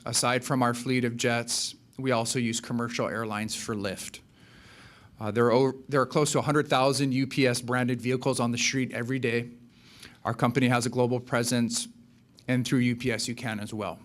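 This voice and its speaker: A man's voice: mid-range voice, little pitch movement, normal speed. A man from Hawaii presents slides to a seminar audience. His delivery is polished and mostly scripted, broken by the odd filler. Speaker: account executive presenting.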